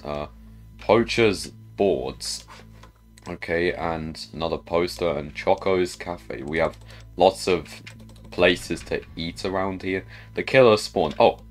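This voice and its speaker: A man giving casual gameplay commentary, chatting and thinking aloud as he plays. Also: low-pitched. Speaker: gamer narrating own play.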